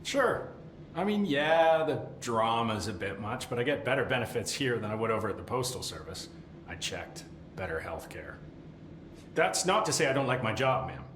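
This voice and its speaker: A man acting as an eager, nervous supervisor in a video game. He rambles and hesitates deferentially, his pitch jumping about. The room echoes around him, with faint noise behind. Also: big pitch swings, moderate pace, close to an everyday voice. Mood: chatty, awkward. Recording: roomy echo, faint background noise.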